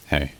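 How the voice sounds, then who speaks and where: A man playing a weary, tender young demon in an intimate ASMR roleplay, speaking softly and hesitantly with gentle wry humor. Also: a little hiss or hum. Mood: sympathetic, gentle. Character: weary, tender young male demon working a phone line. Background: rain and thunder.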